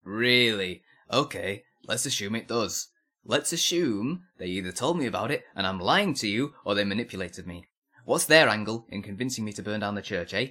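A man voices a young male apprentice under questioning in an audio drama. He is a defiant zealot with a fairly low voice and a hard, tense edge. His answers are clipped and bitterly sardonic, full of conviction. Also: animated, normal speed, pushed and bright. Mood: irritated, sardonic. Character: defiant, zealous nineteen-year-old male blacksmith's apprentice under interrogation. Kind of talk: dramatic interrogation dialogue.

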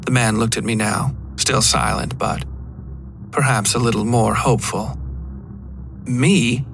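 A man's voice: fairly low pitch, fairly even intonation, normal speed, vocal fry, noisy background. A man reading a scripted first-person story as a narrator. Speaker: fiction podcast narrator.

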